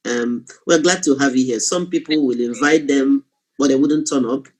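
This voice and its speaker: A woman's voice: extremely low pitch, moderate pace, a little mellow, low-quality recording. A woman team member on a group call, speaking casually with effusive, emphatic praise. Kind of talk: casual spoken tribute.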